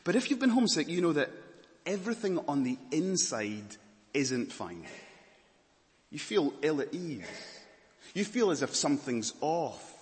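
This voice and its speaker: Scottish preacher in a big echoing hall. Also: male voice, on the high side, big pitch swings, a little slow. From Scotland. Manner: earnest, swinging between emphatic and hushed. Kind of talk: sermon.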